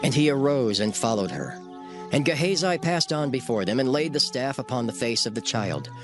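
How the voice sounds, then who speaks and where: Expressive male scripture narrator with soft music. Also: mid-range voice. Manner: measured, solemn, storytelling. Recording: faint music behind the voice.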